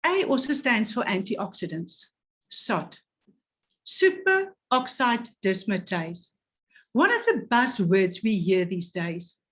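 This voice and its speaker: A woman's voice: mid-range voice, very animated, slow pace, a bit bright. A woman presenting a health product, reading carefully and stumbling over technical words.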